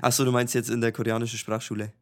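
A young man with a clear Franconian lilt co-hosts a podcast in an easygoing, chatty way. His voice sits at an ordinary middle pitch and he laughs often between remarks. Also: rapid-fire, faint music behind. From Franconia, Germany. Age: young adult. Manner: relaxed, chatty, laughs easily. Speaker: podcast co-host. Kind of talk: casual podcast chat over video call. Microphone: home podcast mic over video call.

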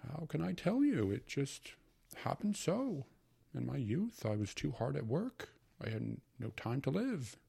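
A man voicing a fifty-year-old bachelor uncle who mutters slowly and wistfully. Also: medium pitch, big pitch swings, creaky, mellow, husky, voice pushed up, heavily acted.

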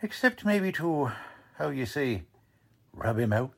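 Old radio crime drama: actor as slangy, mocking cop, unhurried delivery with big pitch swings. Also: male voice, big pitch swings, creaky, a bit bright, exaggerated voice. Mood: blunt, suspicious. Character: gruff, sarcastic, wisecracking police lieutenant. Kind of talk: 1940s radio drama acting.

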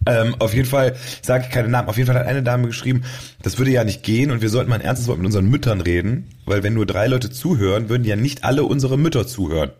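A man's voice: medium pitch, fast talker, darkish tone, husky. A man co-hosting a podcast in casual conversation, with a wry, joking way of talking. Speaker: podcast co-host. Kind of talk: casual chat.

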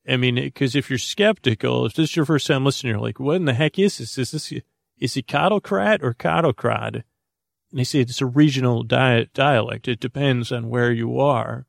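A man hosting a bedtime podcast with a creaky voice, rambling in a halting, goofy, improvised monologue that meanders into tangents. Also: medium pitch, no room sound, clean studio sound. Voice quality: gravelly, creaky. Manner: goofy, whimsical. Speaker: podcast host.

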